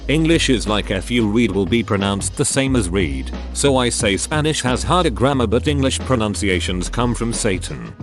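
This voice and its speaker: A man narrating online posts in an even, scripted reading voice. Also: fairly low pitch. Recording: background music under voice.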